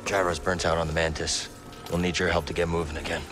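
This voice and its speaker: A male actor plays a young rebel fighter in a video game, worn down but stubborn. The voice is low and understated, guarded yet earnest, with music behind it. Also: flat delivery, fast talker, natural voice. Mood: dry, friendly.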